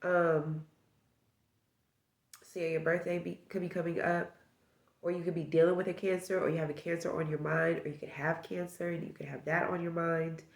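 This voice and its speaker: A woman's voice: fairly low pitch, flat delivery, moderate pace, gravelly, reverberant. A woman with a stuffed-up nose giving a casual tarot reading, musing aloud and rambling through possibilities. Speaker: tarot reader.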